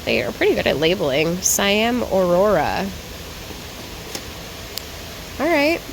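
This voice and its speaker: A woman's voice: medium pitch, sing-song, moderate pace, noisy background. Tired, chatty woman plant vlogger. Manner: chatty, rambling, names plants as she browses. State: tired and short on sleep, a little raspy. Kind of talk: casual walk-and-talk vlog. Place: garden center shop floor.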